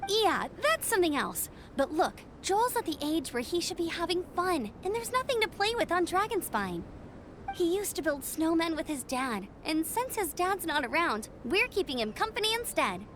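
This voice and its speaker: Peppy young scout girl, really high bright voice, swoops up and down, faint hiss. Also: big pitch swings, moderate pace, heavily acted. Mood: cheerful. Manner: bubbly, upbeat. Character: cheerful energetic young woman scout.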